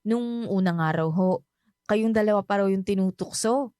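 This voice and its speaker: A woman's voice: medium pitch, moderate pace, warm tone, no room sound, studio quality, close to an everyday voice. An actress playing a cheerful, polite young Filipino woman in a radio drama, chatty and respectful toward an older family friend. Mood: chatty, open. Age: young adult.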